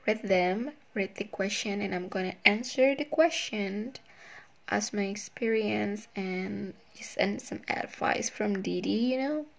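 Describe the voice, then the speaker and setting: A woman's voice: mid-range voice, vocal fry. A young woman with an Indonesian accent, hosting a casual solo advice podcast in a chatty, self-conscious way. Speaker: podcast host.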